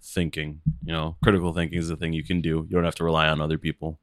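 A man co-hosting a casual podcast, speaking in a calm, quiet, monotone voice that rarely gets loud. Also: extremely low pitch, fast, no echo, clean studio sound. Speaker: podcast co-host. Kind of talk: casual chat.

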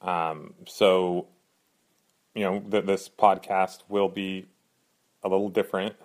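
A man hosting his own podcast in earnest, rambling casual talk, a little hoarse from a cold. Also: low voice, flat delivery, takes it slowly. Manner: earnest, rambling, frequent fillers and restarts. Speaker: podcast host.

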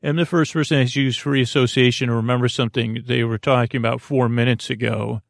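Creaky, meandering male podcast host. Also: medium pitch, steady tone, dry recording, studio quality. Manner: halting, digressive, trailing off mid-thought. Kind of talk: rambling, meandering improvised monologue.

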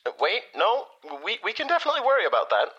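Jittery young intern hero, animated, bright. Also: male voice, very high voice, quick pace, slightly rough, highs cut off, full character voice. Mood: flustered, protesting. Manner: hesitant, stammering, sweary. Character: nervous, doubtful young superhero intern with super speed. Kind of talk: naturalistic audio drama dialogue.

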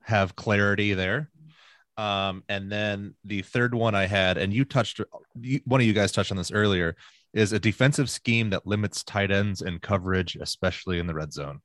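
A man with a deep voice co-hosting a sports podcast, setting out his points in a measured way. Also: fairly bright tone, dry recording, clean studio sound. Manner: measured, lays out points in order. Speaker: podcast co-host and producer. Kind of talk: casual sports chat.

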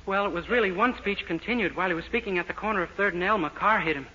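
Through heavy noise on a muffled 1940s radio recording comes a high-pitched, quick-talking young man, played by an actor. He is cheerful, dim and utterly literal, delivering everything with innocent sitcom earnestness. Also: natural voice. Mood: proudly excited. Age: young adult. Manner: guileless, earnest, literal-minded.

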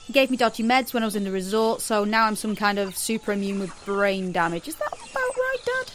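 An actress playing a young, rebellious daughter in an audio drama, speaking with sarcasm and raw emotion. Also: female voice, mid-range voice, big pitch swings, a little fast, a little hiss or hum, lightly acted. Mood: bitter, sarcastic.

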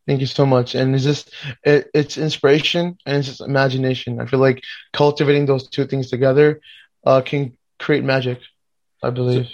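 A man's voice: medium pitch, normal speed, muffled recording. A young man from Miami, a music producer talking casually in an interview, rambling with lots of fillers. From Miami, United States. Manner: relaxed, rambling, many fillers.

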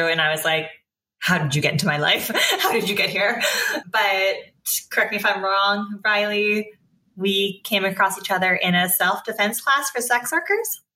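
A woman's voice: medium pitch, bright, forceful tone. A woman from Canada talking casually and candidly as a podcast guest.

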